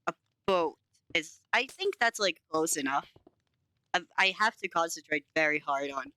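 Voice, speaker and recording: A woman's voice: sits low, expressive, normal speed, pushed and bright, clear, resonant tone, dry recording. A young woman from Finland who speaks English with a light Finnish accent, chatting casually and rambling with her stream chat, with giggles and self-teasing. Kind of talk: casual chat to live chat while building in a game.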